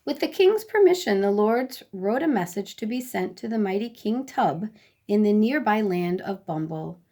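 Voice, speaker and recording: A woman storyteller reading a children's bedtime story in a deliberate, expressive way. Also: mid-range voice.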